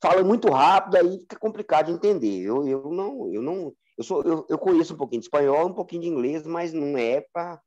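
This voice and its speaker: A Brazilian man, a student in an online class, joking and flattering, telling stories animatedly over a video call. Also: on the high side, fast, few pauses. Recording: dull lo-fi call audio.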